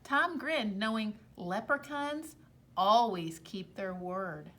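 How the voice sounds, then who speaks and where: A woman reading a storybook aloud to children like a teacher, expressively, pausing to explain things to her young listeners. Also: mid-range voice, animated, fairly bright tone.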